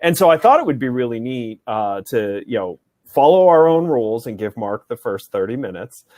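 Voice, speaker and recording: A man co-hosting a live show who introduces a guest in a friendly, halting way, with many uhs, over a video call. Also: medium pitch, sing-song, darkish tone, dry recording. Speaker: co-host. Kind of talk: casual introduction.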